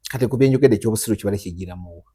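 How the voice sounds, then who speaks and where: Fast teasing Ugandan male interviewer. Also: on the low side, mellow, breathy edge. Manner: teasing, probing, laughs often. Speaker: interviewer host.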